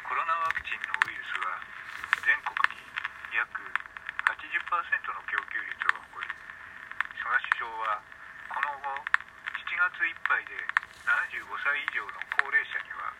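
Very loud noise surrounds a brisk, formal TV newsreader played by a man. The delivery is matter-of-fact, filtered like a broadcast speaker within a radio drama. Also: medium pitch, expressive.

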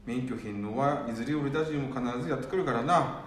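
A man's voice: mid-range voice, fairly quick, lightly acted. A man playing an earnest, ordinary working son in an amateur radio drama. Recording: very echoey room, faint noise.

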